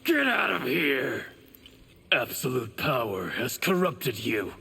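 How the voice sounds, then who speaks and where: An aggressive fighter in a fighting game. His delivery is slow but forceful and animated, with a gravelly edge, in a reverberant hall. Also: mid-range voice, heavily acted. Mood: indignant. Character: aggressive, indignant male fighter. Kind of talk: fighting game character banter.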